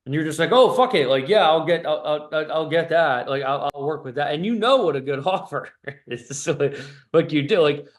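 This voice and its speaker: Blunt, higher-voiced man coaching casually. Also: expressive, normal speed, hardly any pauses. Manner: blunt, profane; emphatic, repetitive stop-start delivery. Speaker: business coach.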